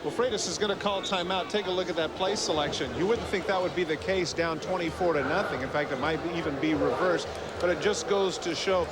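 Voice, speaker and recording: An American man giving live, conversational color commentary on football in an animated, colloquial, emphatic way. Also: on the high side, a little fast. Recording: loud stadium crowd noise, 1990s TV broadcast. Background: loud crowd and stadium noise.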